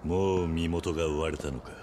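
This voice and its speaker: A low, terse, stoic yakuza. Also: male voice, steady tone, normal speed, warm tone, slight background noise. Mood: unfazed. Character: stoic, resolute middle-aged yakuza man, tough and composed. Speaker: video game lead character. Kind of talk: Japanese game cutscene acting.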